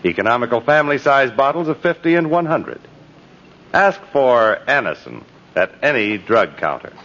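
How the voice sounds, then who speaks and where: A man's voice: medium pitch, sing-song, moderate pace, noisy background. A man working as a 1950s radio announcer, reading scripted copy in a polished, emphatic way. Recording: dull vintage radio broadcast recording.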